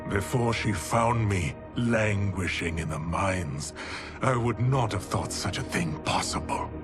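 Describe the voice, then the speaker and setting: An actor playing a sinister, gloating sorcerer in a video game, with a florid, savoring delivery. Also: male voice, on the low side, measured pace, over music, close to an everyday voice. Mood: reverent, sinister. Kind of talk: dramatic video game acting. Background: dramatic score.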